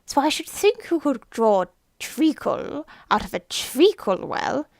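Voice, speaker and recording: A woman doing a loud, rude Hatter. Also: sits high, big pitch swings, slow, gravelly, no room sound, clean studio sound, pitched above the performer's own voice, heavily acted. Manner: loud and brusque. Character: rude excitable male Hatter. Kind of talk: storybook character voice.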